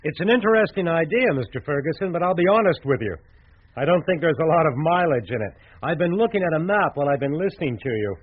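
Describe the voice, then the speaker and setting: A courteous, shrewd American ad executive in a radio play. His voice sits on the high side, businesslike and frank, through thin phone-like sound. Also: lively intonation, quick pace. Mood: frank, firm. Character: shrewd, polite big-city advertising executive. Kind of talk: radio drama acting.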